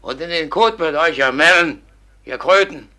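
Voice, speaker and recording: An actor playing a boastful young schemer who dreams feverishly of grand plans, declaiming excitably in a classical radio play. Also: male voice, a little high, normal speed. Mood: grandiose.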